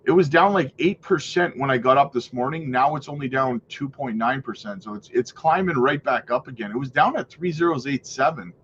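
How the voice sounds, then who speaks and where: A man's voice: medium pitch, fairly quick, hardly any pauses, a bit bright, dull audio, slight background noise. A man with a stuffy cold hosting a live crypto stream, walking through price charts and greeting viewers in an upbeat way, often tagging "right?" and "man". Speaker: crypto livestream host. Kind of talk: live chart analysis and chat shout-outs.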